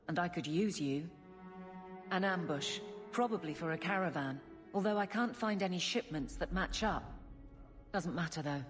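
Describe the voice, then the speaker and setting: A woman's voice: lowish voice, close to an everyday voice. An actress playing a blunt, no-nonsense female city guard officer in a video game. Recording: game audio with long reverb and faint music.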